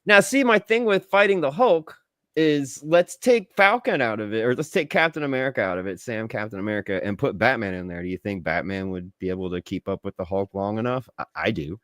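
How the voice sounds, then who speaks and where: A man's voice: lowish voice, lively intonation, brisk, fairly bright tone, dry recording, studio quality. A man co-hosting a podcast, chatting casually about movies in opinionated, sweary slang. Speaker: podcast co-host. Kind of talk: casual movie review chat.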